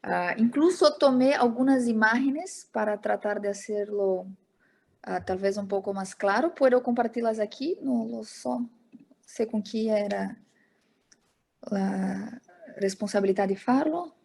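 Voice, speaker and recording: Woman, slow lecture, hesitant, video call. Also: medium pitch, creaky, a little mellow. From Brazil. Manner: slow, hesitant, many fillers. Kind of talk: academic presentation.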